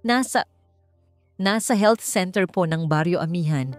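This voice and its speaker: A woman's voice: fairly low pitch, unhurried, faint music behind, natural voice. A woman voicing a desperate, tearful young mother pleading for her child in an audio drama. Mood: tearful, anxious.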